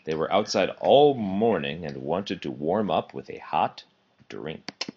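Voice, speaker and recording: A man reading a children's picture book aloud in an expressive, animated storytelling way. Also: deep voice, a little slow, slight background noise. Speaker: storybook reader. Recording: dull, muffled lo-fi recording.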